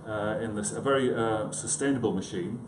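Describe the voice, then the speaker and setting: A man's voice: fairly low pitch, brisk. A man giving an informal conference talk, hesitant and self-deprecating, with chatty asides to his listeners. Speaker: conference speaker, psychiatrist. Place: conference room, indoors. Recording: echoey room, clearly noisy background.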